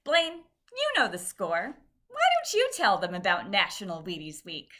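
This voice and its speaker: A woman's voice: medium pitch, huge pitch swings, moderate pace, bright, forceful tone, heavily acted. A woman playing a chirpy, joking sponsor announcer in 1950s radio ad style. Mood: chirpy, amused.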